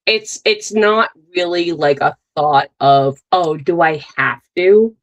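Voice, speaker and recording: A woman's voice: fairly low pitch, takes it slowly. A woman in her thirties, co-hosting a podcast and talking in a loose, rambling way, full of fillers and restarts. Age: 30s. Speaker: podcast co-host. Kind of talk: casual chat.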